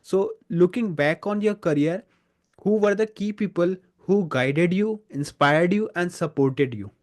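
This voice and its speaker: A man's voice: high-pitched, a little slow, a little mellow. A man hosting a podcast interview, asking questions politely and thanking his guest often. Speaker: podcast host.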